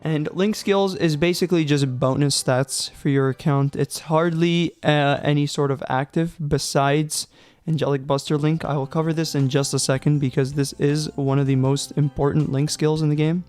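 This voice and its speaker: A man's voice: on the high side, steady tone, normal speed, a little mellow, faint music behind. A young man narrating a casual game tutorial, chatty and joking, with loose fillers, a little sick. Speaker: gaming guide creator.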